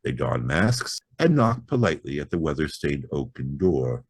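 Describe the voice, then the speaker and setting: A man reading a horror story aloud as an audiobook narrator, with theatrical, expressive delivery. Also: rumbling low, moderate pace, husky, no echo.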